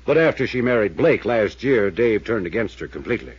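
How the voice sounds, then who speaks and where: An actor playing a weary, earnest small-town male mayor in a 1950s radio drama, careful and measured in speech. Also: fairly low pitch, animated, husky, no echo. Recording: dull old radio recording, dry.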